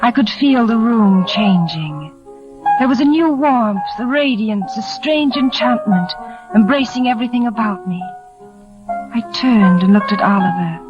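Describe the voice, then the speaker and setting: An actress playing a shy, fearful, devoted young bride, wistful and emotional, in a 1940s radio drama. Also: female voice, on the high side, animated, unhurried. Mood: yearning. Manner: wistful, hushed, emotional delivery. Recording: dull old 1940s radio recording with music.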